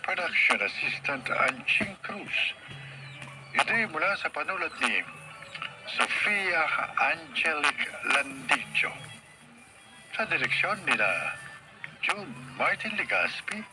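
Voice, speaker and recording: A man's voice: fairly high pitch, big pitch swings, slow, poor audio. A man with a Filipino accent reading scripted closing narration and credits as a radio drama announcer. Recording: loud music behind announcer.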